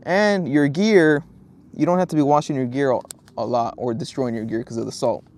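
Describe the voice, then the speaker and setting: A man's voice: mid-range voice, steady tone, moderate pace, a little mellow, a little hiss or hum. Laid-back young man streaming outdoors. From Southern California. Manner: laid-back, rambling, many ums and restarts. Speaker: fishing livestreamer. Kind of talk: casual live-stream chat, reading viewer comments. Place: outdoors at a park lake.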